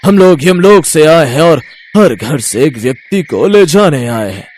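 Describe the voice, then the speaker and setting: A man's voice: a little high, lively intonation, measured pace, darkish tone, a little hiss or hum. A man voicing a stern, formal god of death who gives patient but insistent commands in a moral story. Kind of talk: animated Hindi moral-story voice acting.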